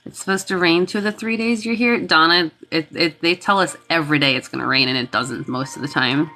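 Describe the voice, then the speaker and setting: A woman's voice: sits low, a little fast, pushed and bright. A woman hosting a reiki livestream, greeting and thanking viewers by name in a casual, chatty way while congested and sniffly from a cold.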